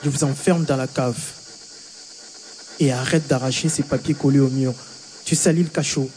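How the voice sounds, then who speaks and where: An actor playing a stern jailer who speaks curtly and threatens. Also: male voice, medium pitch, fairly even intonation, moderate pace, a little mellow, slight background noise, lightly acted. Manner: curt, threatening. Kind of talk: stage drama.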